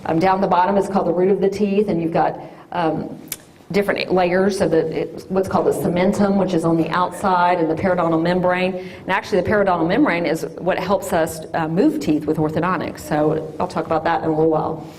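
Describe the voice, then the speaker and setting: A woman, an orthodontist giving a loud, lively classroom talk to children. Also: on the low side, brisk, slight background noise. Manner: loud and lively, sing-song call-and-response.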